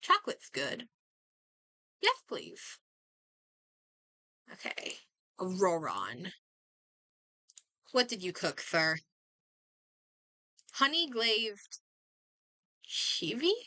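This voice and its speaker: Bright-voiced woman streamer with lively pitch. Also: extremely slow, creaky, pauses often, dry recording. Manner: muttering to herself, thinking aloud. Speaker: game streamer. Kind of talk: casual livestream chat while playing a game.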